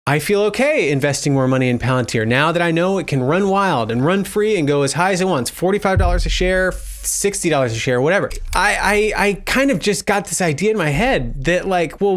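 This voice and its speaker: A man with a voice pitched a little above average hosts a casual online commentary. He speculates out loud, weighing things up as he talks. He speaks to viewers directly and poses questions to them. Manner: musing, thinks aloud, poses questions to viewers. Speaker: host of a stock investing video channel.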